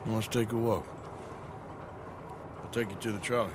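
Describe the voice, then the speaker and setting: An actor playing a gruff, wry Western outlaw in a video game, with an American accent and a dry, laconic, folksy manner. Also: male voice, fairly low pitch, fairly even intonation, moderate pace, noisy background, lightly acted. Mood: guarded. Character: gruff male Western outlaw, awkward, wry and self-deprecating. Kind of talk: naturalistic game dialogue.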